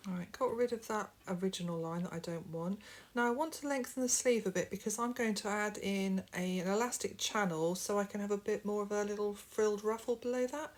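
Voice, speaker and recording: A woman's voice: medium pitch, a little fast. A middle-aged woman, a bit sniffly from hay fever, chatting her way step by step through a home sewing tutorial, recorded on a camera mic. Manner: friendly, rambling, explains step by step. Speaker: sewing vlogger.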